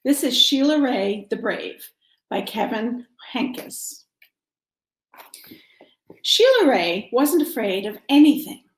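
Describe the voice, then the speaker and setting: A woman's voice: a little high, very animated, slow, pauses often. A woman storyteller talking simply and directly to children and reading them a picture book. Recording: roomy, echoing home-style sound.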